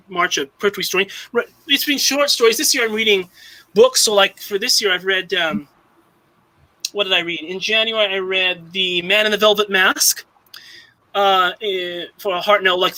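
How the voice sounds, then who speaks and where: A man's voice: high-pitched, pushed and bright, low-quality recording. A young man from Southern California chatting casually on a live stream, rambling with many restarts and fillers. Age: young adult. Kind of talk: casual chat.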